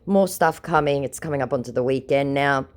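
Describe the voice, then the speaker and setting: Sarcastic low-voiced woman. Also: fairly quick, clear, resonant tone. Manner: sarcastic, mocking, confrontational. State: has an ear infection. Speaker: scam-baiting vlogger. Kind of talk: confronting a phone scammer, then chatting to viewers.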